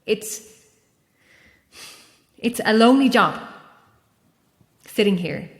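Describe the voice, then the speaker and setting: An actress playing an anguished, defiant, guilt-ridden lighthouse keeper in a horror audio drama. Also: female voice, fairly high pitch, animated, slow pace, echoey room, big-room sound. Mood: weary confession.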